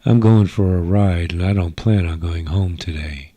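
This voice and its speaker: A young alien woman acted by a man, defiant and teasing in a radio sci-fi drama. The delivery is a low, insolent drawl with a very dry sound. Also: normal speed, warm tone, dry recording. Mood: cocky, defiant. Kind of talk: old-time radio sci-fi storytelling.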